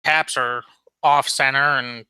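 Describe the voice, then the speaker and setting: Bright-voiced, rambling young man. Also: mid-range voice, steady tone, slow pace, clear, resonant tone, no room sound. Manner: rambling, with frequent ums and restarts. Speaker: podcast co-host. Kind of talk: casual chat about firearms.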